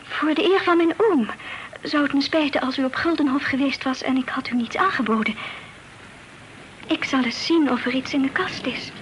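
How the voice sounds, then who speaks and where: An actress playing a well-bred young Dutch lady in a radio play, formal, coy and gently reproving. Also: high-pitched, moderate pace, natural voice. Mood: teasing, polite. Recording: noisy old radio play recording.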